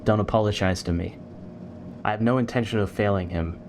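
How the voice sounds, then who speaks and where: A man's voice: lowish voice, fairly quick, a little mellow, a little hiss or hum, natural voice. A man acting as a driven, guarded human commander in a sci-fi audio drama, terse and authoritative. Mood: resolved. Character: driven, guarded human military commander obsessed with alien artifacts. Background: music.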